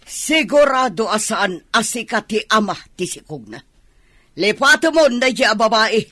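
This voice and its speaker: A woman's voice: fairly high pitch, big pitch swings, moderate pace, bright, forceful tone, no room sound, full character voice. A woman playing a disapproving, suspicious middle-aged mother in a radio drama, scornful and incredulous.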